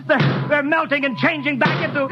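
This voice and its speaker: A rocket captain in a 1950s radio play, with a high voice that often drops soft and low. Music plays under him, and the sound is dull and boxy like an old broadcast. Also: male voice, fairly even intonation, fairly quick. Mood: desperate. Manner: soft and dreamy at first, then frantic radio calls. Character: middle-aged male rocket captain, wistful then desperate. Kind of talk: 1950s radio drama acting.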